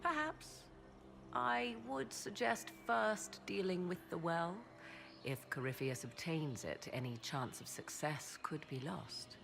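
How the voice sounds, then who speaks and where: A woman's voice: on the low side, animated, takes it slowly, creaky, exaggerated voice. A woman playing a haughty, learned, enigmatic sorceress in a fantasy game, speaking in formal, archaic diction. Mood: pondering. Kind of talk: dramatic fantasy game voice acting. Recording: loud game music behind the voice. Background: game soundtrack music.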